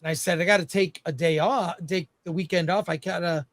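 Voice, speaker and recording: A man's voice: on the high side, fairly even intonation, a little fast, dry recording. A middle-aged man hosting a casual panel talk, putting questions to his guests. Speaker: show host.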